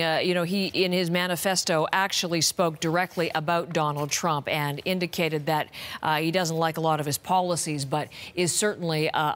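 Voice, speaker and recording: Fifties woman news anchor, kinda low voice, steady and serious, studio mic. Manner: measured, grave delivery.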